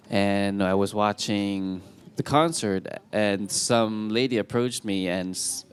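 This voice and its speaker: A young man from Thailand telling his story on stage in a relaxed way, with many fillers and restarts. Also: on the low side, smooth. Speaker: talk show speaker. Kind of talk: casual storytelling to an audience.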